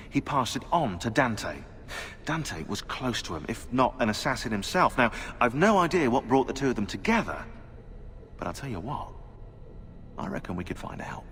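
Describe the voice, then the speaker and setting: A man's voice: on the low side, sing-song, normal speed, fairly bright tone. A man playing a bookish, curious researcher in a video game, explaining his theories conversationally. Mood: eager speculation. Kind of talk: video game cutscene dialogue. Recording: reverberant hall, faint background noise.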